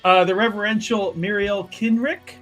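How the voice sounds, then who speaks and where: Male roleplay player, higher-pitched, casual and wry, repeats phrases playfully, light music underneath. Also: steady tone, moderate pace, fairly bright tone. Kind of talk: casual character introduction and banter.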